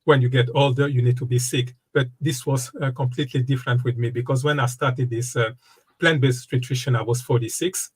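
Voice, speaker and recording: A man in his fifties from the Democratic Republic of Congo, a wellness coach speaking as an interview guest, talking in a halting way with many fillers and restarts. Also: medium pitch, steady tone, breathy edge. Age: 50s. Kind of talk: casual interview conversation.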